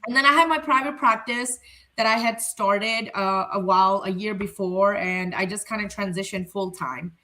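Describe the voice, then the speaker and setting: Woman, family therapist, native Urdu speaker, candid reflective chat, bright voice, mid pitch. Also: normal speed, poor audio. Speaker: family therapist and center founder. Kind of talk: casual panel conversation.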